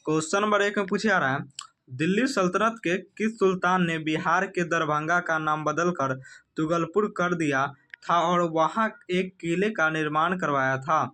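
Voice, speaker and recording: A man's voice: on the high side, steady tone, normal speed, pushed and bright. A man giving a quiz lesson as a coaching teacher, reading questions and answers in an emphatic, repetitive instructional way.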